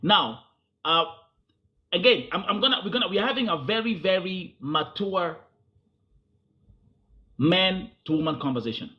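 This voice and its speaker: A man's voice: high voice, expressive, moderate pace, pauses often, highs cut off. A man from Kenya hosting a confrontational interview, pushy and talking over his guest, switching between English and Swahili.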